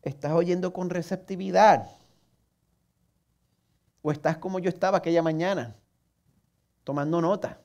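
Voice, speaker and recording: Puerto Rican pastor preaching earnestly. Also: male voice, fairly high pitch, steady tone, normal speed, long pauses, a little mellow. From Puerto Rico. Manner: earnest preaching with rhetorical questions to the listener; self-mocking humor. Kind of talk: sermon.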